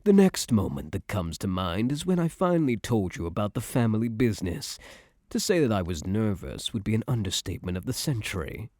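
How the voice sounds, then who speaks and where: A low, close and dry voice of a young mafia heir, acted by a man in an ASMR roleplay. He is tough and self-assured, yet tender with his partner. His slangy, affectionate talk rises and falls expressively. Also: sing-song, brisk, darkish tone, no room sound. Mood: fond reminiscing. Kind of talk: ASMR boyfriend roleplay, intimate scripted monologue.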